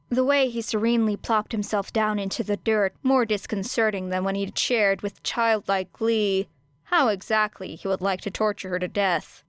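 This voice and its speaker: A woman reading a fan fiction aloud as an audiobook, in a steady, measured way. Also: mid-range voice, clear, resonant tone, no room sound. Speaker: podfic reader.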